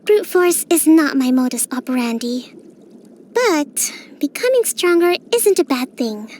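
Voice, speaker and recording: A calm young priestess strategist. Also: female voice, high voice, measured pace, slight background noise. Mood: resolute. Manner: gentle, composed, softly playful. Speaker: game character. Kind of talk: game voice lines.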